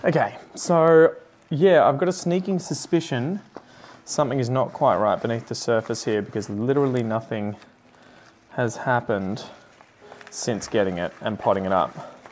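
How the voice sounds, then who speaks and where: A man's voice: medium pitch, normal speed, a little mellow, a little hiss or hum. A man in his thirties with an Australian accent, chatting casually and thinking aloud as he does plant chores in his lounge room. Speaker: plant vlogger. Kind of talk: casual chat while doing chores. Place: lounge room full of houseplants.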